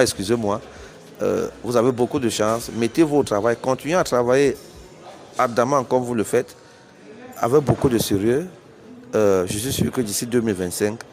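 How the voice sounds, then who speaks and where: A middle-aged man from Cameroon whose first language is Bassa, giving an earnest, persuasive spoken statement to reporters, hesitating and restarting often. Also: background noise. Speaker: business founder giving a press statement.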